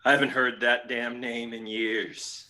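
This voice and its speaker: A man playing a scheming, villainous lion uncle in an improvised scene on a video call, with a drawn-out, gravelly menace. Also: medium pitch, flat delivery, pushed and bright, a little hiss or hum. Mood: sneering.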